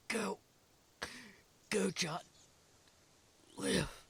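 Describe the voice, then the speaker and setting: A man playing a dying young prison guard in an audio drama, selfless and broken, halting with a weak, strained rasp. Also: sits high, a bit bright, full character voice. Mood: weak, resigned. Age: young adult. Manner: halting, coughing, labored short phrases.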